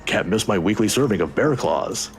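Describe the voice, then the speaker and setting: Woman acting as warm old male academic, visual novel character, low pitch, very animated delivery, avuncular and courteous. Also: normal speed, a little hiss or hum. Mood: gentle, advising. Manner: avuncular, courteous, gently teasing. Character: kindly old male professor, warm and inquisitive.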